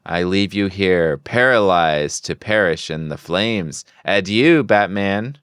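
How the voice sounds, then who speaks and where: A man's voice: low voice, expressive, slow pace, a bit bright, clean tone, dry recording, studio quality, exaggerated voice. A man voicing a maniacal, boastful comic-book villain in a theatrical, exclaiming manner. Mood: gloating.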